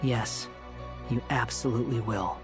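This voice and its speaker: A man's voice: medium pitch, steady tone, measured pace, over music, natural voice. An actor playing a supportive, easygoing man in a video game, speaking informally and with encouragement. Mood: reassuring. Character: supportive, easygoing male friend giving reassurance.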